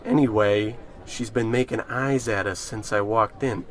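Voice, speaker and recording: A man's voice: on the low side, fairly even intonation, unhurried, a bit bright. A man playing a cocky, scheming barfly pal in an old-style radio comedy, wisecracking in period slang. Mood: cocky, bickering. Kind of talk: 1940s radio acting.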